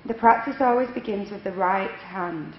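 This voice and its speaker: A woman yoga instructor leading a guided relaxation meditation in measured, instructional phrases with unhurried pauses. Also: mid-range voice. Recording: echoey room, dull lo-fi, faint noise.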